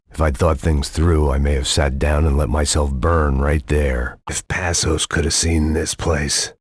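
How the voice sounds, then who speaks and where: An actor playing a weary, cynical middle-aged ex-cop who narrates in a deadpan, bitter, self-mocking way. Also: male voice, very deep voice, slightly rough, no echo, clean studio sound, full character voice. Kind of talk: hard-boiled video game narration.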